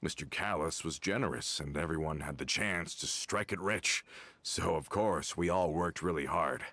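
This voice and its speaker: A man's voice: very deep voice, moderate pace, a bit bright, no echo, full character voice. An actor playing a weary, humble older working man in a video game, mild and nostalgic.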